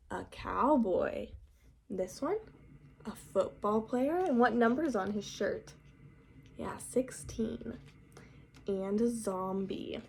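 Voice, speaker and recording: Slow-paced, higher-voiced woman reading to children. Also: creaky, a little mellow, slightly rough. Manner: prompting, teacherly. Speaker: read-aloud storyteller for young children. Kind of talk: storybook reading with questions to children.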